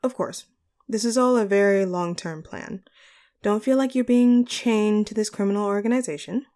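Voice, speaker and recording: Casting: a woman as courteous female supervillain boss for audio roleplay; soft dark tone, composed, articulate, pragmatic. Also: medium pitch, close to an everyday voice. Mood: encouraging, explanatory. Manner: formal, measured, articulate phrasing. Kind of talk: scripted audio roleplay monologue addressed to the listener.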